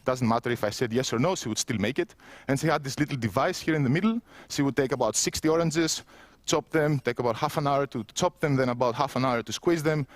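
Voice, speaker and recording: A man's voice: mid-range voice, dry recording. Keynote speaker, man, 30s, Greek accent, conversational and wry, dry close-miked sound. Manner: self-mocking, conversational, with frequent restarts. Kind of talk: conference keynote. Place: empty indoor room, standing.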